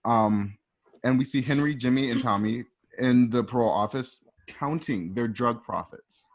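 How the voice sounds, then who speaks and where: Stage-direction narrator: man, medium pitch, casual, informal script read. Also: normal speed, a little hiss or hum.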